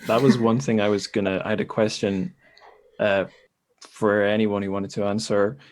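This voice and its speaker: A man's voice: on the low side, little pitch movement, moderate pace, a little mellow. A man hosting a casual podcast discussion, talking in a reflective, anecdotal way. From a Western country. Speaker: podcast host.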